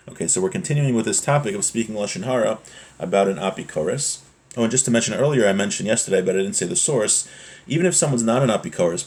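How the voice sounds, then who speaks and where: Low-voiced man teaching a religious text. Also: darkish tone. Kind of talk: informal Torah lesson, reading and explaining a text.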